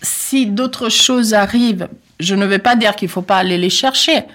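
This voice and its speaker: A woman's voice: mid-range voice, unhurried. A middle-aged Italian woman with an Italian accent, answering interview questions in a talkative, reflective way with many hesitations. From Italy. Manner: talkative, reflective, with frequent hesitations and soft laughs. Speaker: interview guest. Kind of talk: personal conversational interview.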